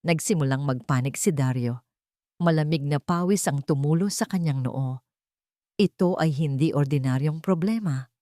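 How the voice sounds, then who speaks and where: A woman's voice: low voice, moderate pace, mellow, no room sound, clean studio sound. A woman with a Filipino accent, narrating a dramatic scripted audiobook story.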